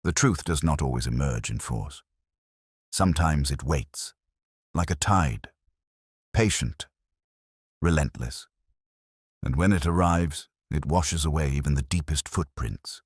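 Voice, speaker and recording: A man voicing a cool, relentless Victorian detective with formal, deliberate speech in an audiobook story. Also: rumbling low, slightly rough, dry recording, studio quality, full character voice. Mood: soft but firm.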